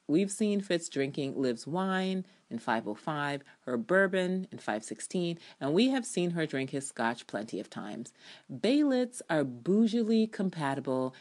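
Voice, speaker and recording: Theatrical low-voiced woman reading her review. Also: darkish tone. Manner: theatrical, emphatic, opinionated. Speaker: reviewer reading own TV drama essay. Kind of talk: dramatic reading of a written review with ad-libs.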